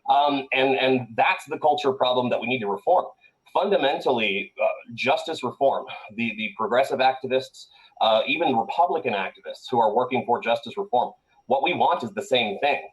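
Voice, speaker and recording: A man's voice: medium pitch, expressive, normal speed, low-quality recording. A man from Colombia, a political candidate, answering interview questions with earnest campaign-speech delivery and frequent "uh" fillers.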